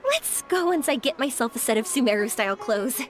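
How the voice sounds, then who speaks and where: A woman's voice: a little high, lively intonation, a little fast, close to an everyday voice. A woman playing a cheerful, easygoing young woman in a video game, with a breezy, upbeat, bubbly delivery. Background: background music.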